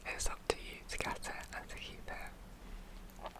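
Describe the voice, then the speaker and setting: The voice is a soft, breathy whisper with no voicing at all. It reads like an ASMR version of a 1940s wartime broadcast. The phrasing is measured and instructive, each piece of advice set out carefully. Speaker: ASMR reader.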